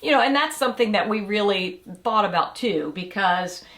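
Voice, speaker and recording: A woman's voice: medium pitch, lively intonation, moderate pace, a bit bright. A middle-aged woman co-hosting a podcast in casual chat, talking in a chatty way and often finishing her partner's sentences. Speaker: podcast co-host.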